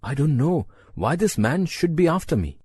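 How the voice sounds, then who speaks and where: A woman's voice: low voice, sing-song, moderate pace, mellow, husky, no echo, clean studio sound, pitched below the performer's own voice, full character voice. A woman doing a nervous old village man. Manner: pleading, nervous.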